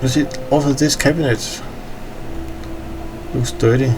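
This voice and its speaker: A man's voice: mid-range voice, fairly even intonation, a little slow, noisy background. A tired man from Denmark with a Danish accent, rambling with hesitant fillers as he tours his in-game hangar. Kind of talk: casual game walkthrough commentary.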